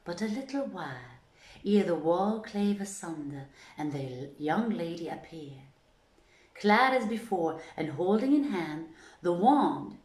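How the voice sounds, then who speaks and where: The room rings around a woman narrating an old literary tale. Her voice sits slightly low, and she reads very slowly, with dramatic, deliberate phrasing. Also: darkish tone, reverberant. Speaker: storyteller, reader.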